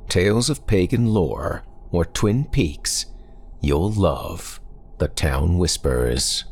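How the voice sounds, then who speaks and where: A man with an extremely deep voice delivers a scripted horror podcast introduction. He speaks slowly and deliberately, with a gravelly edge and a theatrical, ominous manner. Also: slight background noise. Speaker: podcast host.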